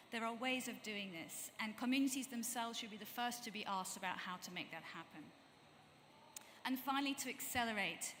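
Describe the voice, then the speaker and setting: A woman's voice: on the high side, sharp tone. A woman making a firm, emphatic statement as a speaker at a conference. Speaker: panel speaker. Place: large conference hall. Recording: hall reverb with faint background noise.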